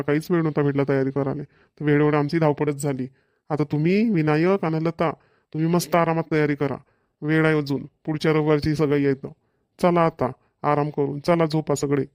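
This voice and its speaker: A man's voice: on the high side, a little mellow, clean tone, no room sound, studio quality, lightly acted. A man voicing a practical, insistent middle-aged father in a cartoon family comedy, with a Varhadi Marathi accent. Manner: repetitive, matter-of-fact persuading.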